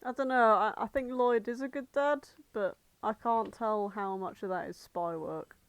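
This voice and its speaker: A woman's voice: mid-range voice, normal speed, no echo. A woman co-hosting a podcast in casual chat, informal and sweary, rambling through her anecdotes. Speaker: podcast co-host.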